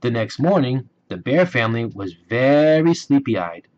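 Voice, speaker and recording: A man reading a children's bedtime story aloud with deliberate, gentle, dramatic emphasis. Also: medium pitch, big pitch swings, lo-fi sound. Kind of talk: expressive bedtime story narration.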